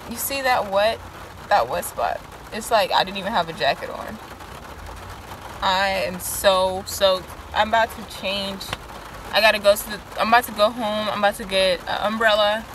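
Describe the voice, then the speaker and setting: A woman's voice: medium pitch, normal speed. Young woman rambling in a noisy van. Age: young adult. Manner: rambling, chatty, talks to viewers. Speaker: vlogger. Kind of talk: casual vlog. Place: inside a parked van on a rainy day. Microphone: handheld phone camera.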